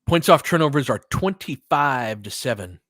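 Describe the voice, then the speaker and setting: A man's voice: mid-range voice, animated, normal speed, a bit bright, no room sound, clean studio sound. A man doing informal live basketball play-by-play, mixing short clipped calls with conversational coaching analysis and fan-like asides.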